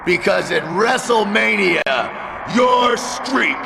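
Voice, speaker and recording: A man playing a hot-tempered wrestling challenger in a video game, gravelly and confrontational, with emphatic phrasing. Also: high-pitched, takes it slowly, full character voice. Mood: angry, defiant. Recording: noisy game capture.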